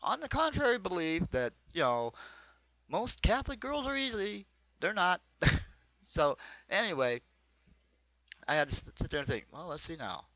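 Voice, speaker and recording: A man's voice: high voice, very animated, moderate pace, long pauses, pushed and bright, no echo. An American man performs an autobiographical comedy monologue in a rambling, conversational storytelling manner. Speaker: amateur comedian. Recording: dull, lo-fi close dry recording.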